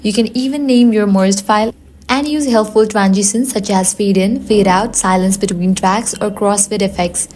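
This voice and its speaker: A woman's voice: mid-range voice, moderate pace, a little mellow, a little hiss or hum. A woman presenting a scripted tutorial, giving instructions step by step in narration.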